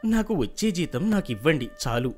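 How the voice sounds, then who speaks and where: A man's voice: fairly high pitch, sing-song, normal speed, a little mellow, soft background music. A man voicing a humble, good-hearted young farmhand in a folk tale, earnest and respectful. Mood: earnest, gentle. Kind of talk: acted folk tale dialogue.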